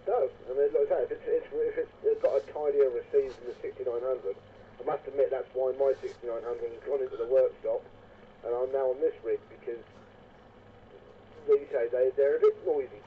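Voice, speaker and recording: A man's voice: mid-range voice, steady tone, a little fast. A man chatting casually over a CB radio, rambling and repeating words. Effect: CB radio transmission. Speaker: CB radio operator. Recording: tinny narrowband CB radio with faint noise.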